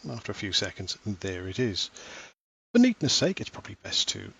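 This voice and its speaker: Calm low-voiced father explaining software. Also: a little fast, creaky, slightly rough. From Wales. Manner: patient, step-by-step explaining. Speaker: parent giving a tutorial. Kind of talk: screen-recorded software tutorial.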